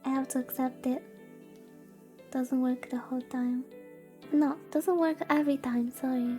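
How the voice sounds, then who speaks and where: A woman's voice: sits high, fairly even intonation, measured pace, warm tone, faint music behind. A woman streamer reading a visual novel aloud in a casual livestream style, informal and given to playfully exasperated commentary.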